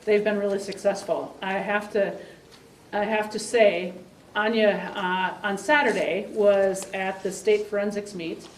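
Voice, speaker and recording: A woman's voice: medium pitch, steady tone. Rambling woman speaking from afar in a room. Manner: rambling, halting with fillers and restarts. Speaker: exchange program coordinator. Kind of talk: presentation to a meeting. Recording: roomy sound from a distant mic, faint hiss.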